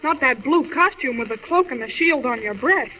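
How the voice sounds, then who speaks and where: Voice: sits high, lively intonation, normal speed. A performer playing a wide-eyed, inquisitive young boy in an earnest 1940s radio style. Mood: amazed, curious. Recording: distant, very noisy, muffled vintage radio.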